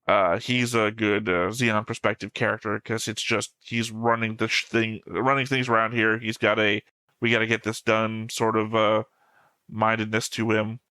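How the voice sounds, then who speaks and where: A man's voice: lowish voice, little pitch movement, normal speed, pushed and bright, clear, resonant tone, dry recording. A man in a casual fan discussion as a show co-host, talking in a pedantic, insistent way.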